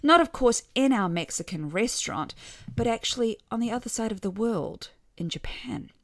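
A woman reading a scripted first-person short story with wry expression, letting some phrase endings drop softly. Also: fairly high pitch, expressive, moderate pace, vocal fry, no room sound.

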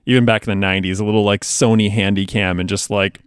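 A man's voice: on the low side, fairly even intonation, fast talker, clear, resonant tone, no echo, clean studio sound. A man co-hosting a podcast in casual, conversational chat. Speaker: podcast co-host.

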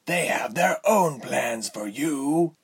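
A man voicing a condescending villain lord in a fantasy story, measured and smooth in manner with a breathy, husky tone. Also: medium pitch, animated, voice pushed up, full character voice. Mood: persuasive. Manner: measured, silky, haughty.

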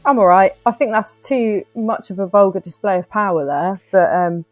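A woman's voice: medium pitch, moderate pace, no room sound, highs cut off, soft background music. A young British woman co-hosting a podcast, laid-back and bantering, laughing easily. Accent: British English. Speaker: podcast co-host. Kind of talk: casual chat.

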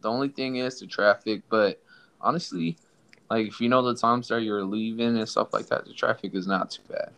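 A man's voice: fairly low pitch, fairly even intonation, moderate pace, vocal fry, a bit bright. A young man from Somalia hosting a casual podcast, loose and joking with his co-host.

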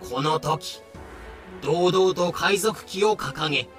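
Bright-voiced man narrating a dramatic tale. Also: on the high side, slow, soft background music. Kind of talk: scripted dramatic story narration.

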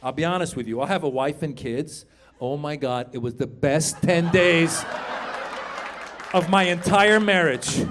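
Middle-aged male stand-up, high voice, lively crowd work, punchlines yelled. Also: unhurried, background noise. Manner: animated, shouts punchlines, jumps into high put-on voices. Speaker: stand-up comedian. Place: comedy club stage before a live audience.

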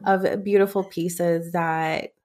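A woman's voice: fairly low pitch, little pitch movement, slow, darkish tone. A woman from Ecuador, a bookkeeper guest on a podcast, explaining things eagerly, step by step, with everyday examples. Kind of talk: explanatory interview talk.

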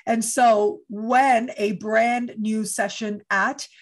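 A woman's voice: fairly high pitch, fairly even intonation, slow. A woman hosting an online interview show, welcoming her guest in an effusive, enthusiastic way. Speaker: host.

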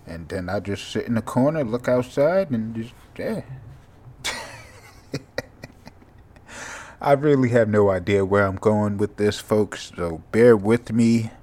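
A sleepy young man with a monotone, mumbly voice, hosting a rambling, profane solo podcast. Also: on the low side, takes it slowly, pauses often. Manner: casual, profane, drifting from thought to thought. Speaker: podcast host.

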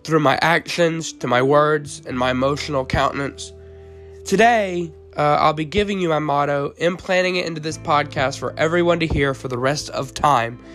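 A teenage boy, hoarse from the flu, hosting a faith podcast in an earnest tone with frequent ums and uhs. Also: fairly high pitch, fairly bright tone. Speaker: podcast host.

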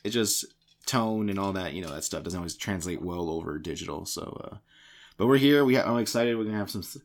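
A man co-hosting a casual podcast from a home game room, with a slightly raspy voice recovering from being lost, rambling and repeating words in a jokey way. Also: low-pitched, lively intonation, fast talker. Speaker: podcast co-host introducing the show.

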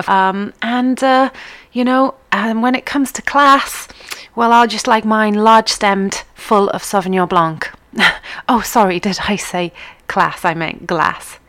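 Livestream host: chatty, upbeat woman, mixed northern English accent, talks straight to her viewers, mid-pitched. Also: fairly bright tone, clear, resonant tone. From England. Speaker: online line dancing group host. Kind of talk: casual livestream chat.

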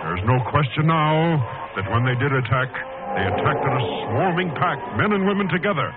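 A man's voice: a little high, expressive, a little fast. A man narrating a 1940s radio adventure serial in a theatrical, suspenseful, emphatic style. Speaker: announcer-narrator. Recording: tinny, band-limited old radio broadcast, music.

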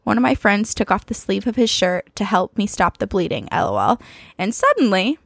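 Creaky-voiced woman co-hosting a podcast. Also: medium pitch, expressive, normal speed, a bit bright, no echo, clean studio sound. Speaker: podcast co-host. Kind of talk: casual chat and reading listener emails aloud.

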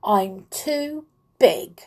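A woman's voice: medium pitch, lively intonation, very slow, darkish tone, full character voice. A woman voicing a bossy, hot-tempered girl doll in a children's story, with pushy, emphatic delivery. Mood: defiant, cross.

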